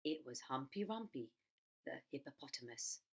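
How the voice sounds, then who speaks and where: A woman reading a children's storybook aloud in an expressive narrating style, like a primary school storytime. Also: rumbling low, normal speed, breathy edge.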